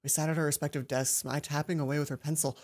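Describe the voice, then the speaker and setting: A woman doing casual game commentary and reading a visual novel aloud, chatty and self-deprecating, reacting out loud to the story. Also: low voice, little pitch movement, quick pace. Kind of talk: casual game commentary and narration with character voices. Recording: music behind the voice. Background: game music.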